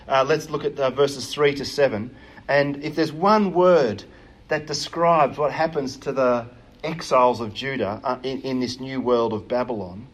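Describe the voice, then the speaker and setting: A man's voice: mid-range voice, fairly bright tone. Earnest man preaching a sermon. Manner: earnest, emphatic, with frequent hesitations.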